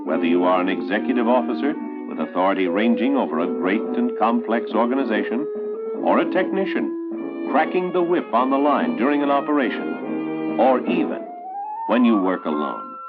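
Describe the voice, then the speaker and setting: An American man narrating a 1960s instructional film in an authoritative, emphatic, measured oratorical way. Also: lowish voice, very animated. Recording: tinny band-limited 1960s film soundtrack, loud music. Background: loud musical score.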